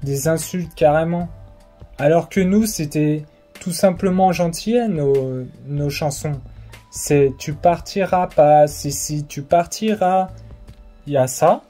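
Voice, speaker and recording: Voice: medium pitch, takes it slowly, mellow, soft background music. A young adult talking casually to camera, giving an insistent opinion in colloquial speech full of hesitations and fillers. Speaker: political vlogger. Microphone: phone or camera facing the speaker.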